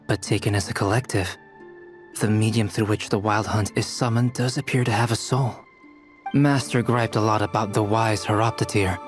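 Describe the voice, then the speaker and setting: A polite, studious researcher played by a man in a game cutscene. He speaks in a mid-range voice with formal, measured, analytical phrasing, as if absorbed in theory. Music plays clearly behind him. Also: mid-range voice, natural voice. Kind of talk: English video game dub acting.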